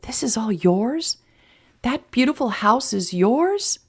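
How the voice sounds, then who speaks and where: A woman's voice: mid-range voice, animated, moderate pace, gravelly, pitched above the performer's own voice. A woman voicing a tender, emotional, playful woman in love in an audiobook romance, with heartfelt sincerity. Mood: astonished.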